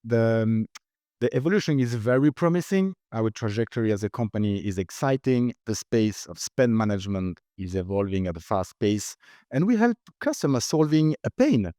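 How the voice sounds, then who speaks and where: A man's voice: lowish voice, big pitch swings, moderate pace, warm tone, no room sound, clean studio sound. A middle-aged man with a Swiss accent, speaking as a finance executive guest in a business interview, hesitant with many fillers and restarts. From Switzerland. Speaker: company CFO, guest.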